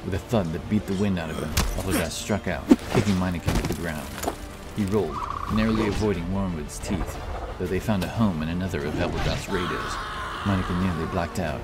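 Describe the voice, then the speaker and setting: A man narrating a fantasy audiobook in a steady, dramatic storytelling manner. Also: deep voice, brisk. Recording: with loud music behind.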